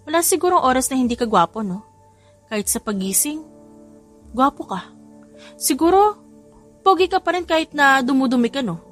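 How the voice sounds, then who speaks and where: A woman's voice: sits high, expressive, normal speed, pauses often, voice pushed up. A woman playing a playful, cheeky young mother in love, bantering with a Filipino accent in an audio romance. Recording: music behind the voice.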